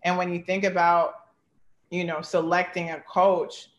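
A woman's voice: lowish voice, little pitch movement, a little slow, reverberant, lo-fi sound. A woman in her thirties, a basketball coach, giving earnest, heartfelt interview answers full of "like" and "you know". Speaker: basketball head coach, guest.

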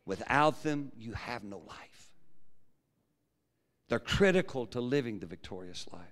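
A pastor preaching a sermon with a big, projecting voice that swings from quiet low musing to loud fervent preaching. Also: male voice, animated, measured pace. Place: large church hall. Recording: long hall reverb.

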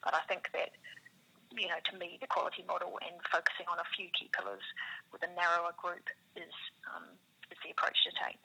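A woman's voice: lowish voice, flat delivery, brisk, vocal fry, sharp tone, breathy edge, rough recording. A woman with a New Zealand accent, answering interview questions as a business co-founder in a thoughtful, circling way full of "you know".

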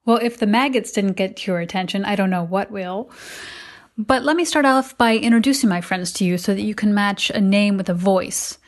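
A woman's voice: medium pitch, normal speed. A middle-aged woman with an American accent, narrating a personal podcast as its host from a prepared script. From United States.

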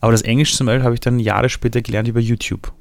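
A man from Iran with a Viennese accent, telling his story in a relaxed interview with lively, animated delivery. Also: mid-range voice, rapid-fire, creaky, no echo. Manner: lively storytelling, shifts voice for quotes.

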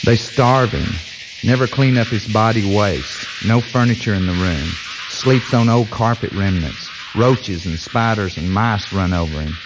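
A man narrating an audio story like a film pitch, in offhand, clipped fragments. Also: unhurried, over music, low-quality recording.

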